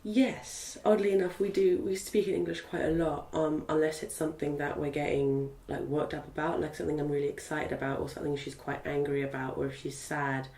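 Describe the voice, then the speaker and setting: A woman's voice: low-pitched, flat delivery, a little fast, hardly any pauses, mellow, slight background noise. A young woman from Zimbabwe whose first language is Portuguese, chatting as an interviewee with lots of fillers. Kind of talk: casual interview. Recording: roomy sound from a distant mic.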